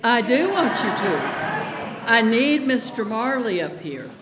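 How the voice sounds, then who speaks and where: A woman giving an informal, chatty and halting speech to an audience as an event organizer, talking into a stage microphone. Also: fairly high pitch, big pitch swings. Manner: chatty, halting, addressing the room. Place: large echoey hall with an audience. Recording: echoey hall, audience noise, faint hiss.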